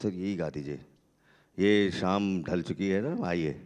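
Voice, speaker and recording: Smooth, unhurried male stage artist. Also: low voice, a little mellow. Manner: teasing and playful. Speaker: artist and panel moderator. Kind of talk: joking stage banter. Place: conference stage.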